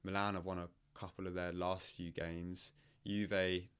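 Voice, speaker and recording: A man's voice: deep voice, flat delivery, normal speed, fairly bright tone, smooth. A man hosting a football podcast, chatty and leading the questions in casual talk. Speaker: podcast host. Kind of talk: casual sports chat.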